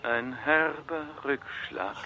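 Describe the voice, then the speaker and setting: An actor playing a pompous, zealous insect researcher in a comic radio play, speaking formally and urgently. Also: male voice, mid-range voice, slow pace, gravelly, pushed and bright, a little hiss or hum, exaggerated voice, low-quality recording. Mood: urgent, grave. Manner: formal, self-important, urgent.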